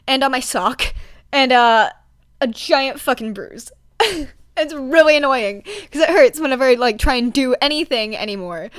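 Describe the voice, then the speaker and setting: Excitable young woman with a high-pitched voice. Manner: excitable, slangy, swearing, emphatic. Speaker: podfic reader. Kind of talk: casual rambling chat to listeners.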